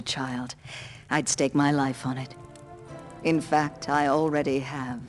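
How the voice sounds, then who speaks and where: Fantasy game older female head of a sorceress order: measured, formal, commanding, music underneath. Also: low-pitched. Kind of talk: scripted fantasy game acting.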